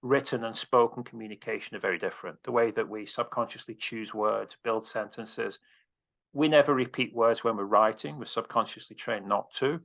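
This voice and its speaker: A man's voice: lowish voice, moderate pace, vocal fry, fairly bright tone. A man coaching on presentations, answering interview questions in a direct, casual way with casual swearing.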